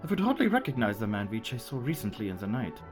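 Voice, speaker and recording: A man's voice: medium pitch, wildly swooping pitch, fast talker. Man acting a courteous old foreign professor over music. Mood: confiding. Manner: formal, inverted foreign phrasing. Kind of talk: radio play acting.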